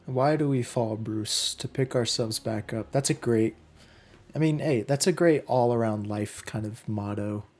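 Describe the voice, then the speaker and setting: A hoarse young man in his twenties hosting a casual film reaction, chatty and excitable, with many fillers. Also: medium pitch, unhurried. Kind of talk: casual movie reaction commentary.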